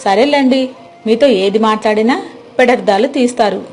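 A woman's voice: on the high side, normal speed, darkish tone, soft background music, lightly acted. Nagging village wife played by a storyteller woman. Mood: indignant. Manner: complaining, argumentative. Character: nagging, self-interested middle-aged village wife.

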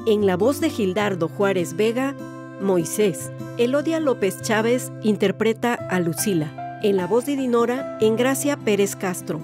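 A woman's voice: medium pitch, lively intonation, a little slow. A woman reading scripted credits as an announcer for a radio drama. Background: plucked guitar music.

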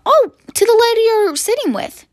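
A woman's voice: very high-pitched, sing-song, moderate pace, no room sound, voice pushed up. A pushy, boastful shop seller, played by a woman, with eager patter. The voice swings up and down in a dry toy-game roleplay. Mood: matter-of-fact.

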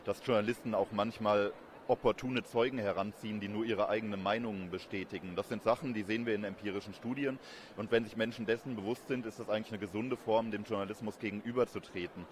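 A man in his thirties giving measured, explanatory answers as an interviewed academic expert. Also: lowish voice, steady tone, a little hiss or hum. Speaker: interviewed expert, communication professor. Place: busy event courtyard in daylight. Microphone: handheld reporter microphone held close. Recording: outdoor urban ambience behind voice.

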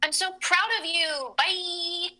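Piercingly bright vapid socialite. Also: female voice, extremely high pitch, steady tone, slow, heavily acted. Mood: gushing. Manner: gossipy, blasé, filler-heavy. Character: vapid, breezy supernatural young female socialite. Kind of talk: sketch comedy radio play.

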